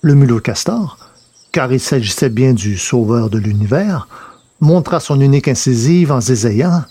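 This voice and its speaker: A man's voice: mid-range voice, lively intonation, moderate pace, dark tone, husky, no room sound. A man reading a scripted science fiction adventure as narrator.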